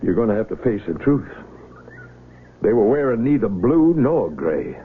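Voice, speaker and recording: An actor playing a persuasive, probing army doctor in a 1970s radio drama. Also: male voice, fairly low pitch, very animated, moderate pace. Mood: insistent. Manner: persuasive, questioning. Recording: muffled, slightly noisy old radio recording.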